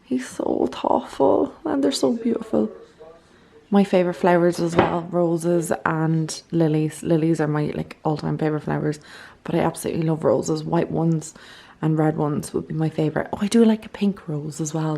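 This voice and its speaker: A woman's voice: sits low, normal speed, gravelly, warm tone, breathy edge. A young Irish woman vlogging at home, chatting casually to her camera, a bit congested and nasal, with lots of "like"s. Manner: chatty, peppered with "like". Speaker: beauty and lifestyle vlogger. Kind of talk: casual vlog chat to camera. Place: at home, indoors.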